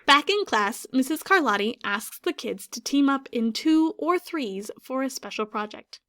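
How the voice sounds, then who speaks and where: A woman teacher reads a picture book aloud to children, stopping often to ask them what they notice. Her voice sits on the higher side and rises and falls with animated, sing-song expression. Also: moderate pace. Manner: warm, questioning teacher voice that prompts listeners.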